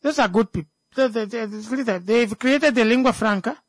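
Namibian accent, native speaker of a local language, mid-pitched voice, emphatic, repeats phrases, unscripted discussion, dry sound. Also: moderate pace, no room sound.

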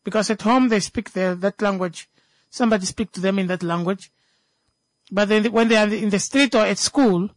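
Namibian accent, native speaker of a local language, mid-pitched voice, emphatic, repeats phrases, unscripted discussion, dry sound. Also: dry recording.

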